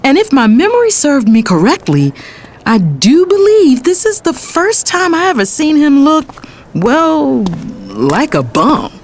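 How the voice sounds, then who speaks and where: A woman's voice: high voice, wildly swooping pitch, a little slow, heavily acted. A woman acting in an audio drama as a determined, righteous young lawyer with an American accent, earnest and no-nonsense. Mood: resolute, reflective. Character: determined, righteous lawyer sister telling her story. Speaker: narrator and character. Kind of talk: first-person dramatic storytelling.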